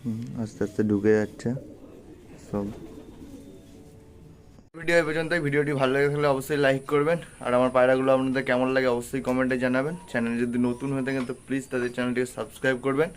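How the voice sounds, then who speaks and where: A man's voice: medium pitch, steady tone, fast talker. A man giving a casual, matter-of-fact vlog narration as he walks through his pets' outdoor space. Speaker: pet vlogger showing his pigeon loft. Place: outdoor pigeon loft and garden. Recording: noisy outdoor recording with cooing pigeons and birds. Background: cooing pigeons, birdsong, ambient noise.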